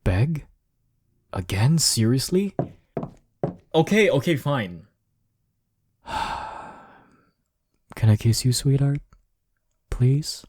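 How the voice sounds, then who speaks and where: Man acting as slow-talking, cocky young romantic rival. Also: mid-range voice, big pitch swings, a little mellow, breathy edge, dry recording, heavily acted. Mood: flustered pleading. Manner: teasing, chuckling, sighing, stammering when flustered. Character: cocky, teasing young man flustered by his crush. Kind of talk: intimate romantic audio roleplay.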